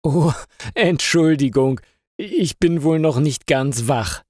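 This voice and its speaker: A man's voice: fairly high pitch, slow, a little mellow, no echo, studio quality, voice pushed up. A man voicing an apologetic, absent-minded young male baker in an audiobook story. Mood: sheepish. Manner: apologetic, pondering.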